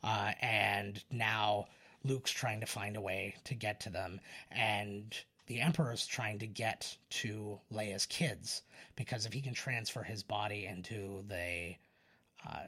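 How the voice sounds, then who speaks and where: A man's voice: lowish voice, steady tone, takes it slowly, sharp tone. Rambling, sweary male podcast host. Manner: rambling, sweary, enthusiastic about the subject. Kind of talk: casual chat, recounting film lore.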